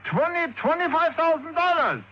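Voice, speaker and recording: Agitated, high-voiced junkyard owner. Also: male voice, moderate pace, soft background music. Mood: indignant, agitated. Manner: excitable, protesting with rhetorical questions in broken syntax. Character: agitated junkyard owner, indignant and defensive man. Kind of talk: 1950s radio drama acting. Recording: dull, noisy old radio recording.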